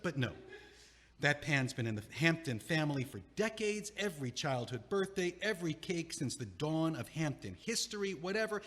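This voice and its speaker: A man's voice: on the high side, fairly bright tone. A man playing a flustered young man who rambles and stammers in exasperation, recorded in a theater. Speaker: playwright reading stage directions and acting. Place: performing arts theater. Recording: reverberant theater stage.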